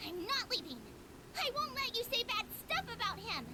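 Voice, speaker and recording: Tiny earnest female creature; very high, singsong, eager. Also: big pitch swings, normal speed, noisy background. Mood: defiant. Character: small gentle female Melusine creature. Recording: loud game music behind.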